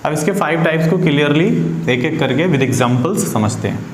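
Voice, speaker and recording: A man's voice: a little high, lively intonation, moderate pace. A man with an Indian accent teaching informally at a board as a science teacher, repeating key phrases and quizzing his listeners with questions. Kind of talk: informal board lecture for students. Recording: echoey room with faint background noise.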